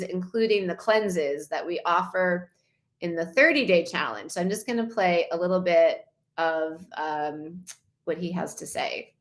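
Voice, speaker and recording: Slow, enthusiastic woman in her fifties. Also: fairly low pitch, a bit bright. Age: 50s. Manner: enthusiastic, repeats key points. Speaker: wellness challenge host. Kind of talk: presenting slides to an online group.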